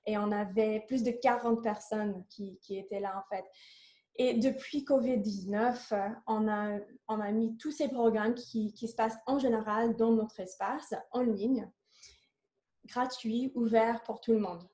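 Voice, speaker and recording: A woman's voice: a little high, steady tone, measured pace, mellow. A woman who is not a native French speaker, presenting her organization on a video call, hesitant, with many fillers and slips into English. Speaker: program lead at a coworking organization. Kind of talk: online panel presentation.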